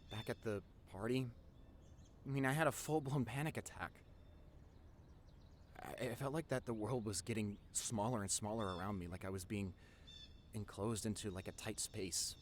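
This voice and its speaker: A man's voice: fairly low pitch, slight background noise, natural voice. A man playing a nerdy, introverted young boyfriend in an intimate audio roleplay, earnest and rambling with a nervous stammer. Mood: sheepish, nervous. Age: young adult. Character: nerdy introverted young boyfriend, wacky, tender, passionate artist.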